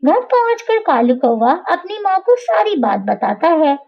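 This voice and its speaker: A woman tells a children's moral story as a scripted narrator. The voice sits high, and the recording is somewhat dull and lo-fi. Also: very animated, unhurried, creaky. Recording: dull, lo-fi sound.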